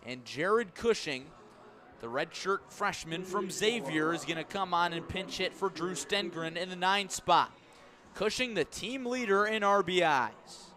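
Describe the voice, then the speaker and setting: A man's voice: high voice, lively intonation, a little slow. A man doing live baseball play-by-play on the radio in clipped phrases, stretching key words. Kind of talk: live sports commentary.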